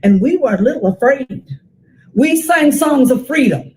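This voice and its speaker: An older American woman, in her sixties, tells a story for children in a high, very expressive voice. She takes her time and leans on repeated words for dramatic effect. There is some noise in the recording behind her. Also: low-quality recording. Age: 60s. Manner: dramatic, deliberate phrasing with emphatic repetition. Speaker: storyteller reading a children's book aloud.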